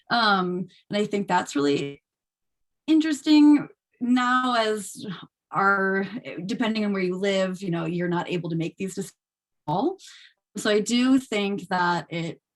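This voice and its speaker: Tentative woman with a mid-pitched voice. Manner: tentative, searching for words. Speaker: actor on a panel. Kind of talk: casual Q&A answers. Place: remote video call.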